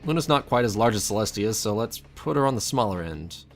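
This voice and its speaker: A man's voice: lowish voice, expressive, fairly quick. A man voicing a wisecracking, show-off pony sidekick with a casual, joking manner in scripted educational comedy. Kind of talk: scripted comic educational dialogue. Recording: music behind the voice.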